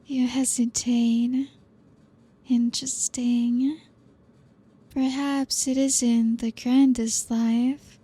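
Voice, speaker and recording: A young woman voicing a teasing, possessive aristocratic vampire in an ASMR roleplay, speaking slowly and softly with hushed whispers. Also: a little high, breathy edge, full character voice.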